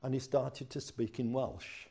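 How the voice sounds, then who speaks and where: A man's voice: medium pitch, fairly even intonation, a little slow, gravelly, dark tone, slightly rough. An older man, a pastor preaching a sermon in a chatty storytelling way with self-deprecating humor.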